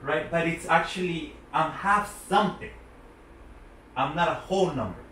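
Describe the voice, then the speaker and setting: A man's voice: a little high, normal speed, sharp tone. A man conducting an interview, hesitant and restarting his words as he asks questions. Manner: hesitant, repeats words when starting. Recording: very echoey room, distant mic, faint noise.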